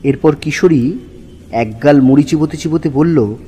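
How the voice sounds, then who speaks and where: A man narrating a scripted audio story in a husky voice. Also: a little high, normal speed, warm tone, slight background noise.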